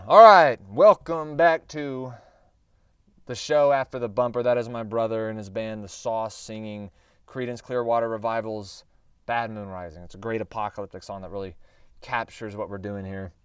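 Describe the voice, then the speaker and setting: A man with a low, smooth voice hosts a casual Bible study, talking in a chatty, meandering way with quick self-corrections. His voice is slightly hoarse. He sits a little back from the mic in a dry, dead room. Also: a bit bright, dry recording, recorded from a distance. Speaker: host of a Bible prophecy show.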